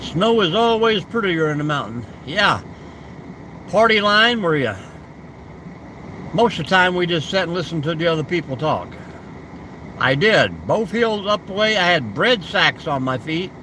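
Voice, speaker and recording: A man's voice: on the high side, very animated, measured pace, long pauses. Older man chatting on a noisy outdoor live stream. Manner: easygoing, folksy reminiscing. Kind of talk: casual live stream chat, answering viewer comments.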